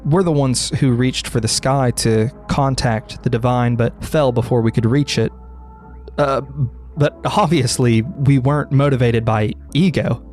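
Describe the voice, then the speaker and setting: Male horror explainer narrator, medium pitch, scripted delivery, faint music bed. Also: a little mellow.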